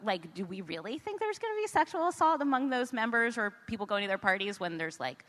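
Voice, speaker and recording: An American woman speaks as an interview guest in a large reverberant hall. Her pitch swings widely as she makes her points informally and emphatically, often putting them as rhetorical questions. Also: sing-song, fast talker, fairly bright tone. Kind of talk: conversational interview. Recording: echoey hall with long reverb.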